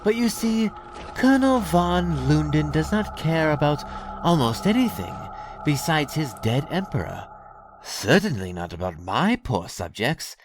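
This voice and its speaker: An actor playing a sly, mocking alien raider lord in an audio drama, talking with mock politeness and theatrical menace. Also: male voice, on the high side, big pitch swings, measured pace, background noise. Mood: sly feigned innocence. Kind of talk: scripted audio drama acting.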